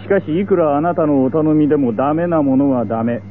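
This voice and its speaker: A man's voice: a little high, quick pace, lightly acted. An actor playing a stern, pompous male head of a medical association in a 1970s radio drama, formal and unyielding. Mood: stern refusal. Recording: tinny old radio recording with hiss.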